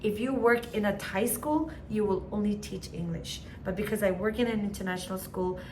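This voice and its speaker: Woman, Naga accent, casual and chatty, switches languages, mid-pitched voice, soft hiss behind. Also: moderate pace. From Nagaland, India. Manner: chatty, mixes English with Hindi and other Indian languages. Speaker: teacher in an international school. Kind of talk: casual chat.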